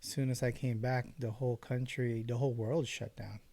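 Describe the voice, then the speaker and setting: A man in his late thirties, co-hosting a casual podcast in a relaxed, agreeable way with short replies. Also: mid-range voice, a little fast, creaky. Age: 30s. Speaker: podcast co-host. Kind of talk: casual chat.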